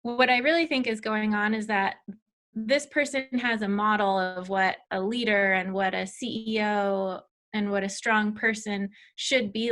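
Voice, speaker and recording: Candid woman with a rather high voice. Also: a bit bright. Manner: candid, filler-heavy speech. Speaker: company founder. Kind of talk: personal talk to an audience.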